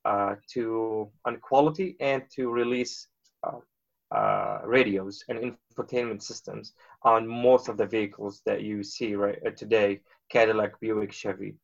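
Lebanese-born man, halting and full of uhs. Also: fairly low pitch, fairly even intonation, vocal fry, highs cut off. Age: 30s. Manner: halting, many filler uhs. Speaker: guest. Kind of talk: casual interview talk.

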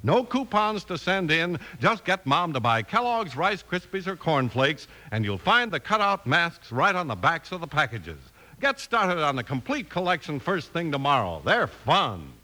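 A man's voice: a little high, very animated, moderate pace, sharp tone. An American man announcing and narrating in scripted 1950s radio style.

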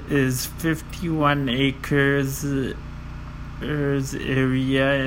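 Man, flat monotone, slow hesitant list reader who trips over names. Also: mid-range voice, a little hiss or hum, rough recording. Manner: slow, halting, with many fillers and self-corrections; stumbles over foreign names and apologizes. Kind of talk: reading a list of world records.

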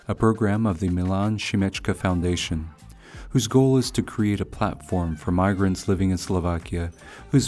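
A man's voice: lowish voice, a little mellow. A man hosting a podcast in scripted narration. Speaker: podcast host.

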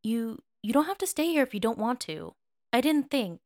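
A woman's voice: on the high side, very animated, normal speed, a little mellow, voice pushed up. A woman voicing a young emperor in an audiobook, a tender and impulsive lover with a pouty, groaning, urgent way of talking. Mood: urgent, sincere.

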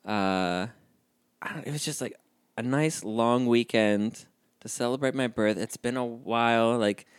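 A man's voice: mid-range voice, a little slow, clean tone, no echo, clean studio sound. A man in his thirties co-hosting a casual podcast chat, playful and joking. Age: 30s. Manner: playful, jokey, animated. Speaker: podcast co-host.

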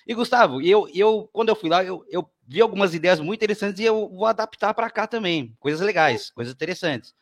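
A man's voice: high-pitched, expressive, fairly quick, few pauses. A man with a São Paulo Brazilian accent hosting a podcast in a casual, chatty way, often repeating his words. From Guarulhos, Brazil. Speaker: podcast host.

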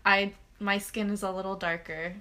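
A young woman in a casual group chat who joins in with quick, chatty remarks. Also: mid-range voice, little pitch movement, bright, forceful tone. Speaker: conversation guest. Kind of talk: casual conversation.

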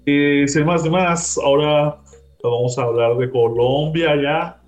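A man's voice: fairly high pitch, steady tone, measured pace, soft background music. A man from Mexico, hosting a casual chat in an easygoing, joking way. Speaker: podcast host. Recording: roomy, slightly dull sound.

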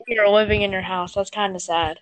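A woman's voice: mid-range voice, fairly even intonation, a little fast, low-quality recording. A young woman co-hosting a casual podcast, teasing her friends with sarcastic remarks. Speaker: podcast co-host. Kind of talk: casual joking chat.

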